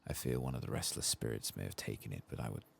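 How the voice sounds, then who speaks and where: A man voicing a weary, grieving old elf in a fantasy game, soft-spoken, solemn and formal. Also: extremely low pitch, fast talker, gravelly, slight background noise, full character voice. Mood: grave. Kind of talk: soft-spoken game dialogue read-aloud.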